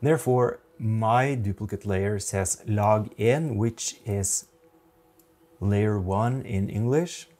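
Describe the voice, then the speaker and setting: A man in his forties with a Norwegian accent, giving a software tutorial in a methodical, halting way. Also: lowish voice. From Norway. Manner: slow, methodical, halting explanations. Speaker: photography instructor.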